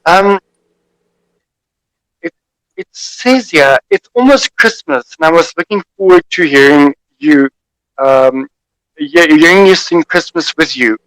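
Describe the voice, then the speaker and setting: A South African man, an Afrikaans speaker, interviewing as a radio host over a video call, with hesitant pauses and fillers. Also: a little high, no room sound, poor audio. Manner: warm, hesitant, with many fillers.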